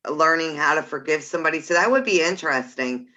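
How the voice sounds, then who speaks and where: Podcast co-host, bright sharp voice, hesitant reflective talk, lots of fillers. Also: medium pitch, fast talker, dull audio. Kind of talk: casual chat.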